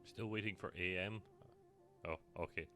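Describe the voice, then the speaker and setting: A man's voice: sits low, steady tone, moderate pace, sharp tone. A man giving casual reaction commentary as he streams a video game.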